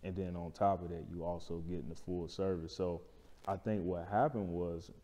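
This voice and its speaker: A deep-voiced man explains things casually in an interview. His tone is dark and relaxed, his phrasing colloquial, and the room rings with noticeable reverb. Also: moderate pace. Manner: colloquial, explanatory, checking in with "right?". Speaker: barbershop owner, interview guest. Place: indoor lounge-style studio. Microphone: lapel mic.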